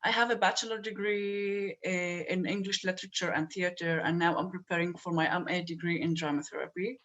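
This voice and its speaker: A woman's voice: fairly low pitch, steady tone, fairly quick, a bit bright, highs cut off. Woman in her twenties, hesitant speaker. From northern Palestine. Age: 20s. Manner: hesitant, many fillers. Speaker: panelist. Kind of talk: online event talk. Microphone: video call.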